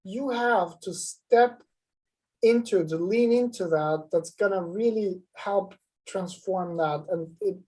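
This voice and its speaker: A woman's voice: medium pitch, slow, warm tone, poor audio. A woman on a live web show speaking earnestly about fear and shame, often repeating words as she goes.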